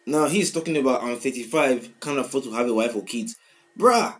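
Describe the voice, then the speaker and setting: A man in his twenties with a Nigerian accent, reacting to a song in casual commentary, swinging from loud outbursts to quiet mumbling, recorded on a webcam in a small room. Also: mid-range voice, expressive, brisk. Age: 20s. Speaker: music reaction host. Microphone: webcam at desk, wearing headphones.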